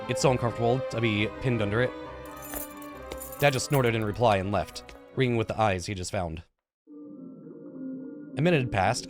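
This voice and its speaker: Man in his thirties, medium-pitched voice, let's play narration, dramatic reading, small stumbles, music behind. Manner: dramatic storytelling read with stumbles and self-corrections. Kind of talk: reading a visual novel aloud with casual asides. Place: indoor room at a gaming setup. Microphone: close desk microphone with headset. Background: game music.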